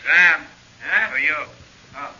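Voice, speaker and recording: Deferential barroom pianist, folksy and flustered, very bright high voice, old radio serial. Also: male voice, takes it slowly, breathy edge, full character voice. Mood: eager, deferential. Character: eager, deferential male cafe piano player. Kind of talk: 1940s radio acting. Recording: muffled 1940s radio recording.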